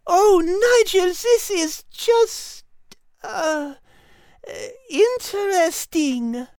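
A woman's voice: extremely high pitch, animated, extremely slow, darkish tone, no echo, clean studio sound, exaggerated voice. A woman playing a refined, tactful, gracious French animal in a children's audio drama, with a French accent and dainty, polite hesitations. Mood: awkwardly polite.

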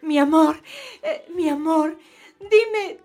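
A woman's voice: very high voice, slow, a little mellow, soft background music, full character voice. A woman voicing Gelda, a lovesick young woman in a radio play who keeps pleading and asking again what her beloved said. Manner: breathless, pleading, repeats questions.

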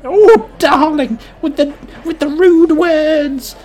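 A woman playing a pushy, flirtatious, suggestive dinner date with a croaky voice in an improvised comedy radio play. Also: high voice, dark tone. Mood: flirty, bossy. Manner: drawn-out, suggestive, teasing delivery.

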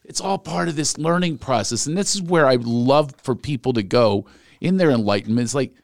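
A man's voice: medium pitch, brisk, no room sound, studio quality. A man with an American accent hosting a podcast, chatting casually and joking as he tells stories. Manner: joking, animated storytelling. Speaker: podcast host. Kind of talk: casual comedic interview chat.

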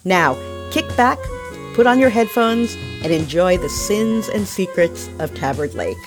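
A woman's voice: mid-range voice, lively intonation, a little slow. A woman giving a scripted introduction to a radio play as the theater's artistic director. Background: guitar music.

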